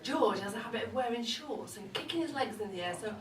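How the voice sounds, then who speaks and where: A woman's voice: on the high side, animated, fast, natural voice. A woman acting a boozy older mother-in-law in a comic stage monologue, wry, bawdy and chatty. Her voice comes from a distance in a roomy, echoing space, with a noticeable crowd around her. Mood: gossipy, cheeky. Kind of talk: comic dramatic monologue read to a live audience. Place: indoor live event at a pub. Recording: roomy, distant live recording with audience noise. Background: audience crowd.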